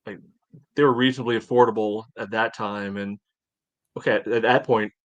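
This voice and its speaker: A man's voice: fairly low pitch, fairly even intonation, fairly quick, no echo, lo-fi sound. A man in his forties chatting casually as a podcast co-host, in a hesitant, rambling way. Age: 40s. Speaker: soccer fan podcast co-host. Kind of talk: casual chat.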